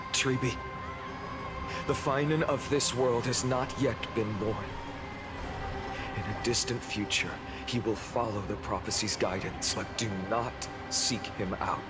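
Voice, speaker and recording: An actor playing a calm, world-weary swordsman in a video game, speaking in a measured, grave and deliberate manner. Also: medium pitch, close to an everyday voice. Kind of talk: dramatic video game voice acting. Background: loud music.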